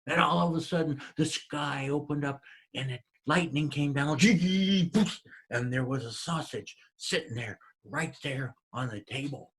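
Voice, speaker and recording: A man voicing an excitable, simple-minded woodcutter in a told folk tale, exclaiming loudly. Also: on the high side, measured pace, breathy edge. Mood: proud. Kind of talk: storytelling character voice.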